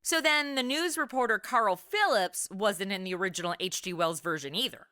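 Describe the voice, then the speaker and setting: A woman hosting a podcast in a lively, scripted but conversational style. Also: mid-range voice, moderate pace, clean tone, dry recording, studio quality. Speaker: podcast host.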